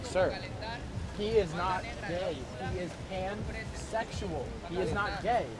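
A man's voice: sits high, slow pace, a loud crowd. A man doing a street prank in an outdoor park, insistently correcting others. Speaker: prankster in costume.

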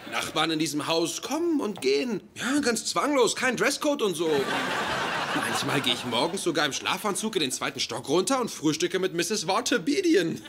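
An actor playing an awkward, defensive young nerd in love in a sitcom dub. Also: male voice, high voice, big pitch swings, moderate pace. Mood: awkward, nervous. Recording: noisy sitcom soundtrack with ambience.

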